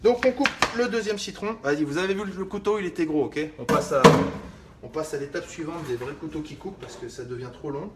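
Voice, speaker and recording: A man's voice: fairly high pitch, fairly quick, very noisy. A young man hosting a casual live stream, joking and rambling to his viewers as he cuts lemons. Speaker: fitness livestream host. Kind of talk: casual live cooking demo.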